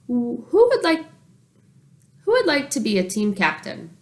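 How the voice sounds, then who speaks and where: A woman reads as a brisk male gym teacher from a children's storybook. The delivery is projected and animated, swinging up in pitch as he announces things to the class. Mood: brisk announcing.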